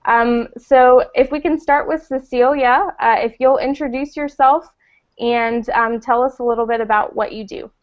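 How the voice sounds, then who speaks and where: A woman with a fairly high voice moderates a live online discussion, moving things along briskly. Her audio comes through a muffled video call line. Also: fairly even intonation. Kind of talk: hosting a live online hangout.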